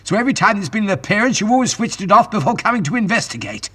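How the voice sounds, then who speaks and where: An actor playing a brisk, authoritative scientist-adventurer in an audio drama, explaining and commanding in an old-fashioned gentlemanly way. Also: male voice, high-pitched, big pitch swings, husky, heavily acted. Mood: urgent, authoritative.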